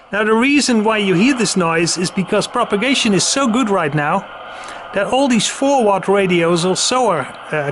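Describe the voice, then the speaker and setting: Male demonstrator, high-pitched voice, casual and matter-of-fact explainer, with clear radio hiss behind him. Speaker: presenter demonstrating vintage radio gear. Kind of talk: casual hands-on product demonstration. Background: CB radio noise and chatter.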